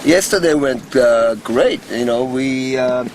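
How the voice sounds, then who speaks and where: A man on a film crew chatting casually about the shoot, halting with many pauses. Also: mid-range voice. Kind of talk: casual on-set chat. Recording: noisy background.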